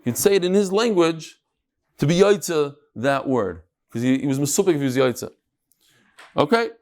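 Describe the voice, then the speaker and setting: A man's voice: fairly high pitch, animated, normal speed, a little mellow. A man giving an informal Talmud class, conversational and emphatic, mixing in Hebrew terms and rhetorical questions.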